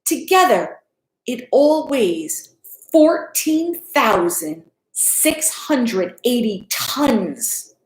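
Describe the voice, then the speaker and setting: Polite, persistent storybook girl played by a woman, earnest and high, echoey room sound. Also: big pitch swings, very slow, exaggerated voice. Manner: earnest, precise. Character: polite, determined little girl arguing with facts. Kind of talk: storybook character in a read-aloud.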